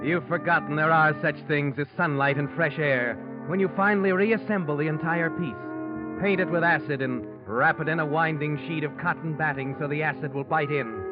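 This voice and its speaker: An actor playing a sinister, all-knowing narrator of a 1940s radio mystery, taunting the guilty man in a deliberate, dramatic way. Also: on the high side, natural voice. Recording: muffled old radio recording with loud music.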